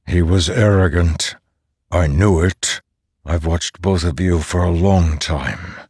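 A man's voice: sits low, slow pace, a little mellow, breathy edge, no echo, studio quality, exaggerated voice. A man voicing an ancient godlike storm spirit in a fantasy audiobook, stern, imperious and accusatory. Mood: disappointed, reproachful. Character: thundering, ancient, godlike storm spirit, male, domineering and disapproving. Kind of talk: dramatic fantasy audiobook character.

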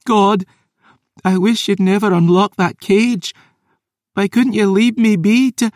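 A shattered young maid, her voice pitched high and cracking with grief, as a male narrator puts her on. She trembles, then lashes out in raw, tearful cries. The recording is close and dry. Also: takes it slowly, no room sound, lightly acted. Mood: anguished. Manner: trembling, turning to anguished shouting. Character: young woman refugee maid, grief-stricken, volatile.

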